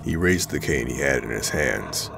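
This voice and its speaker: A man's voice: a bass voice, animated, moderate pace, creaky. A man reading scripted narration for an audio drama. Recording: music under the narration. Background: loud background music.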